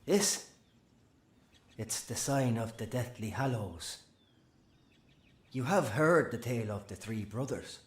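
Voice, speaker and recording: Slow, odd wizard, echoey. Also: male voice, mid-range voice, expressive. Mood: distracted, halting. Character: odd, wary middle-aged wizard father, distracted. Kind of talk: video game cutscene voice acting. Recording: roomy echo, faint ambient noise.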